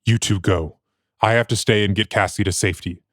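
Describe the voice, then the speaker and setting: Man playing a low, solemn patchwork monster. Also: steady tone, fairly quick, a bit bright, no room sound, studio quality, natural voice. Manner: stately, archaic, solemn. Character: grim, formal, noble male patchwork monster. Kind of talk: audio drama acting.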